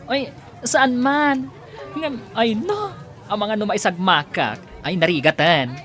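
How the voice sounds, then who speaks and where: A woman's voice: mid-range voice, animated, takes it slowly, a bit bright, faint music behind, a faint crowd. A woman with an Ilocano accent playing a flustered, hesitant, exclamatory woman in an audio drama. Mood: startled.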